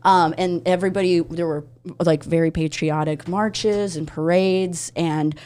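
Chatty woman with a lowish voice, from Ukraine. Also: steady tone, unhurried, darkish tone. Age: 30s. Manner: chatty, laughs often, many fillers and restarts. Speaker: podcast guest, comedian. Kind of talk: casual storytelling chat. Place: podcast studio.

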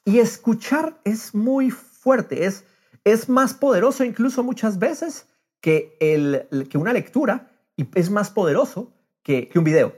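A man with a fairly high, husky voice talks casually as if on a podcast. His pitch swings widely and lively, and he slips English phrases into his sentences. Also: unhurried. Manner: drops English phrases into Spanish. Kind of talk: casual conversational podcast talk.

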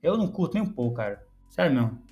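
Young Brazilian man, casual host, rapid speech, frank and swearing, faint music bed. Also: medium pitch, big pitch swings, highs cut off. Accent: Brazilian Portuguese. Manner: blunt, rapid, swears freely. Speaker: podcast host. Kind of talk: casual chat.

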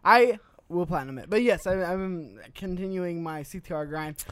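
A man's voice: sits high, normal speed, a bit bright, clear, resonant tone. A man co-hosting a casual gaming podcast, joking and swearing with friends. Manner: profane, joking banter. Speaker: podcast co-host.

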